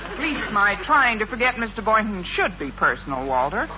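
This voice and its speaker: An actress playing a wry, sarcastic American schoolteacher in a 1950s radio sitcom, with dry, deadpan delivery. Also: female voice, medium pitch, brisk, lightly acted. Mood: miserable, deadpan. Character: wry, lovelorn woman schoolteacher, sharp-tongued and sarcastic. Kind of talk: 1950s radio sitcom acting with first-person narration. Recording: dull, noisy 1950s radio recording.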